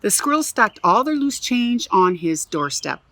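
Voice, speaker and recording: A woman reading a picture book aloud to children as a museum storyteller, animated and chatty, with little asides. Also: mid-range voice, unhurried.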